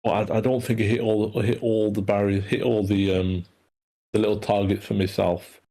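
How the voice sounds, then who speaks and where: A man giving informal, halting script critique in an online meeting. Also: lowish voice, flat delivery, vocal fry, mellow. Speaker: script reader giving feedback.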